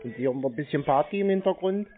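A man's voice: on the high side, animated, quick pace. A man chatting casually on the phone as a naive, rambling, colloquial customer. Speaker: prankster playing a gullible customer to phone scammers. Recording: narrow, tinny phone-call sound.

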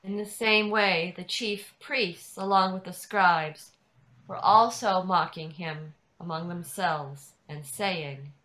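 A woman reading scripture aloud as a dramatic narrator, measured and deliberate, at home on a video call. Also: on the low side, fairly bright tone, rough recording.

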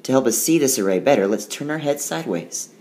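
A male teacher reading a rhyming picture book aloud and walking through the math, recorded as a tablet screencast voice-over. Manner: slow, sing-song rhyming read-aloud with lively pitch.

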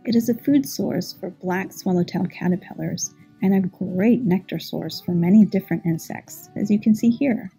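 A middle-aged woman, a garden manager, narrating a scripted plant tour in an informative, measured way. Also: mid-range voice, gravelly, warm tone, breathy edge, faint music behind, rough recording.

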